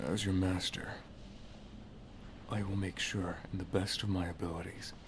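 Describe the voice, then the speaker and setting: A man playing a solemn, protective wizard master and lover in an ASMR roleplay, speaking softly and gravely, often half-whispering. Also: low voice, flat delivery, normal speed, noisy background, lightly acted. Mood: grave, caring. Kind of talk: ASMR roleplay, dramatic monologue.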